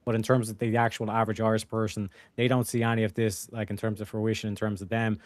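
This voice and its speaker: A man talking rapidly as a guest in a casual panel discussion, rambling with many fillers. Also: lowish voice, flat delivery, no room sound.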